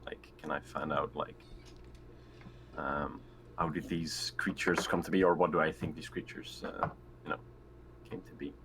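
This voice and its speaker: A man with a low voice talks casually as a player in a tabletop game. There is faint noise behind him. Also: steady tone, moderate pace, long pauses, a bit bright, rough recording.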